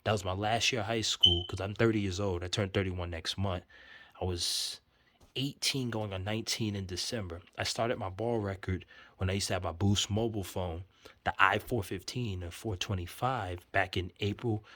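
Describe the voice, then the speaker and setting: A man's voice: sits low, fairly even intonation, normal speed, bright, forceful tone, no room sound. A man, giving a rambling, self-assured spoken update full of numbers. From New York City. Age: 30s. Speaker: vlogger.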